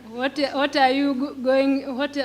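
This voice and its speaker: Female speaker, Tanzanian accent, native Swahili. Slightly high pitch, slow, halting prepared-talk delivery with repeated words. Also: flat delivery. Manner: halting, repeating words as she searches for them. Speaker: pre-primary teacher and conference speaker. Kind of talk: prepared talk in simple English.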